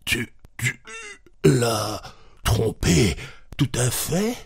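A man playing an insistent, repetitive husband, jovial but quick to jealous fury, in a storybook reading. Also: medium pitch, big pitch swings, gravelly, a little mellow, slightly rough, no room sound, clean studio sound, exaggerated voice. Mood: stunned, curt. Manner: insistent, repeating himself.

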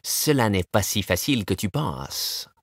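Sensible wolf, calm and careful, lowish voice, dry, a guy reading him. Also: unhurried, husky, dry recording, exaggerated voice. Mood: cautious. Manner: calm and cautious. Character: sly sensible male wolf. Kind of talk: storybook voice acting.

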